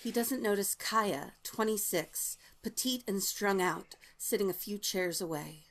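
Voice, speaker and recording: A woman's voice: mid-range voice, a little slow, fairly bright tone. A woman reading stage directions as scripted narration for a table read.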